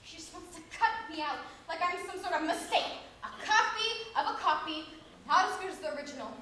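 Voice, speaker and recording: A resentful girl living in her sister's shadow hurls bitter accusations, as a woman performs her in a dramatic monologue. Her voice is very high and bright. The hall around her is very echoey. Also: animated, fairly quick, a little hiss or hum, exaggerated voice. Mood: bitter accusing. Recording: very echoey room.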